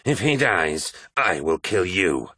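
A man's voice: deep voice, sing-song, slow pace, bright, forceful tone, breathy edge, no echo, studio quality, full character voice. A man voicing a ferocious, domineering warrior captain in an audiobook, snarling and hissing threats in fearful rage. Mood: menacing. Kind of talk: dramatised audiobook dialogue.

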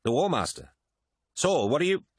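A swaggering, bitter warrior of an elite order acted by a male narrator, pitched well up and haughty. He speaks with emphatic, petulant pride, as in a dramatic sci-fi audiobook, in a dry recording. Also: big pitch swings, moderate pace, no room sound. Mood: disbelieving.

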